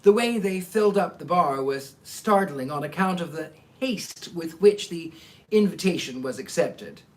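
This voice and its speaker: A man's voice: fairly high pitch, expressive, measured pace. A man hosting a livestream as a storyteller, moving between dramatic live reading and casual asides to listeners.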